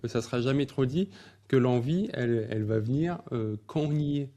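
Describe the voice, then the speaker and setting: A man's voice: mid-range voice, moderate pace, dark tone. A man hosting a podcast interview who speaks in an encouraging way, summing up and restating his guest's points. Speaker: podcast host. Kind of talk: casual interview talk.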